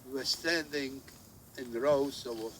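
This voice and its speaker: Older man, slow, halting testimony. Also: mid-range voice, fairly bright tone. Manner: halting, searching for words. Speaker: Holocaust survivor giving testimony. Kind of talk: spoken testimony. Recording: distant mic with faint ambient noise.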